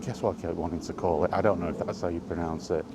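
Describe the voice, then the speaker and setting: A man chatting casually in a rambling solo monologue, halting and musing, often repeating his phrases, recorded outdoors. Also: low-pitched, steady tone, noisy background. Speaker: host of a gaming chat series. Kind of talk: casual rambling monologue about video games.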